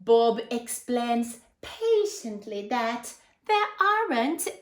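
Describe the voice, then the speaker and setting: A woman's voice: on the high side, very animated, extremely slow, roomy sound. A woman reading a picture book aloud to young children, expressively, with asides and questions addressed to her listeners.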